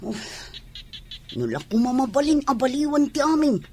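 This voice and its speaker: A woman's voice: high-pitched, sing-song, moderate pace, darkish tone. A woman playing a defiant tomboy daughter pleading with her mother in a Filipino radio drama.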